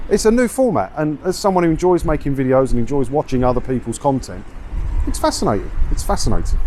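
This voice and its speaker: A middle-aged man talks in a blunt, informal way, rambling casually to camera. His voice sits at an average pitch. It is recorded outdoors, with faint street and traffic noise behind him. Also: darkish tone. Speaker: video creator and host. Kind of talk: casual walking vlog, rambling chat to camera. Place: outdoors, walking around a seaside town.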